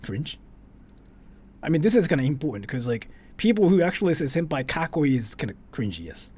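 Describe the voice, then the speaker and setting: Animated man streaming, fairly high voice. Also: brisk, slightly rough. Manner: informal, switches between English and Japanese. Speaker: streamer, host. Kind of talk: casual livestream chat, ranking commentary.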